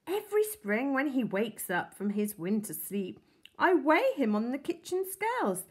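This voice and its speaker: A woman's voice: sits high, very animated, unhurried, slightly rough, pitched above the performer's own voice, exaggerated voice. Gushing kindly lady, as a woman reader voices her. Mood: doting. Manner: effusive, pleading. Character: sweet, gushing middle-aged woman doting on her pet. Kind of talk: storybook reading.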